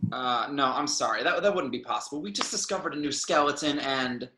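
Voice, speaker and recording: Man as a bright-voiced, indignant scientist, roomy sound. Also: mid-range voice, a little fast, slight background noise. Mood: hesitant. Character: gruff, indignant male paleontologist, awkward with strangers. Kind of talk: table read of a film script. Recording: roomy, echoing home recording.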